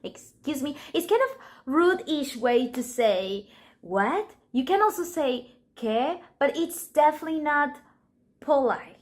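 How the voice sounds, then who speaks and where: A woman with a Colombian accent leads a relaxed Spanish lesson, her first language, explaining everyday expressions in English at an unhurried pace. Her voice sits a little high, and she playfully acts out short example scenes for her viewers. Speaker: Spanish teacher. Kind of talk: casual language lesson to camera.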